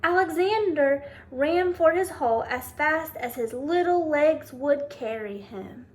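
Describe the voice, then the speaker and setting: High-pitched woman reading to children. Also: animated, unhurried. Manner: prompting listeners with questions. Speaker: teacher reading aloud to children. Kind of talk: children's picture-book read-aloud with commentary.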